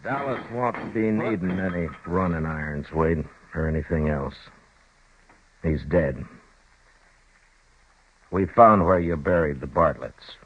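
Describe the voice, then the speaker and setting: An actor playing a steady, grim frontier marshal on a vintage radio western, speaking in a calm, clipped drawl. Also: male voice, deep voice, slow pace, pauses often, breathy edge, exaggerated voice. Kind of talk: 1950s radio western acting. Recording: muffled old radio broadcast with hiss.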